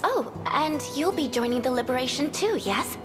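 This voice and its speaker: A woman playing a gentle, courteous young cleric in a fantasy video game, with a sweet, caring manner. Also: high voice, lively intonation, normal speed, over music. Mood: polite, cheerful.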